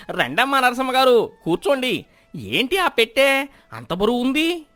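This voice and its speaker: A man's voice: extremely high pitch, big pitch swings, measured pace, pushed and bright, no room sound, full character voice. A man voicing a greedy, two-faced village shopkeeper in a moral story, oily and exaggerated. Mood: fawning, curious.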